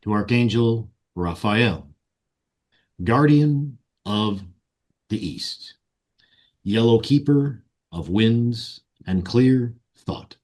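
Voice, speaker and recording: A man leading a guided meditation and ceremonial invocation in solemn, deliberate short phrases. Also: medium pitch, expressive, darkish tone. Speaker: meditation guide and ritual leader.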